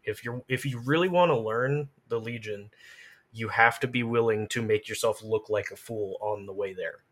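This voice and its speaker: A man's voice: lowish voice, moderate pace, a bit bright. A man, a streamer and podcast guest, casually explaining game tactics in an emphatic, encouraging way.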